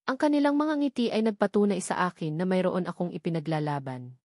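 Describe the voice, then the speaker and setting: A woman's voice: lowish voice, fast, a little mellow, no room sound. Filipino woman narrating a dramatic romance, dry sound. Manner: emotive, with short phrases set apart for drama. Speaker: story narrator. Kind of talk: dramatic first-person scripted narration of a romance story.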